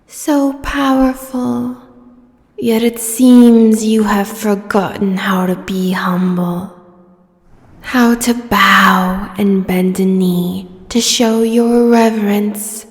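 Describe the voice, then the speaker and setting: An imperious, vengeful giant goddess of the sea, acted by a woman for a fantasy audio roleplay. Her delivery is extremely slow and theatrical, with a mid-range pitch and phrase endings that sink into breathy whispers. A long reverb surrounds every word.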